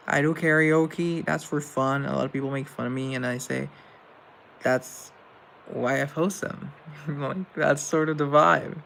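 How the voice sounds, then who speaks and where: A man's voice: fairly high pitch, steady tone, unhurried, creaky, a bit bright, slight background noise, rough recording. A young man from Colombia hosting a casual live show, reading out chat questions and answering in a rambling, earnest way with self-deprecating asides.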